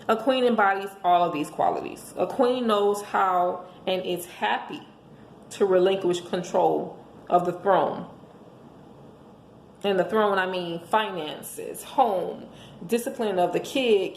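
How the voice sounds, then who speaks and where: A hoarse woman giving an emphatic, preachy motivational monologue, speaking as a host handing out relationship advice to listeners. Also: mid-range voice, slow pace, a little mellow. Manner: emphatic, preachy, rhetorical questions. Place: indoors at home. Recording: echoey room with faint background noise.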